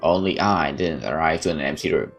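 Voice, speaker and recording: A man's voice: rumbling low, brisk, soft background music. A man playing a game, reading the story aloud expressively and adding casual asides. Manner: expressive, theatrical. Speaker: let's player.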